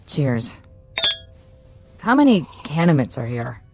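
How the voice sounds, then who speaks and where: An actress playing a quiet, thoughtful assistant code breaker with an uneasy manner in a radio drama. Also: female voice, a bass voice, sing-song, takes it slowly, exaggerated voice.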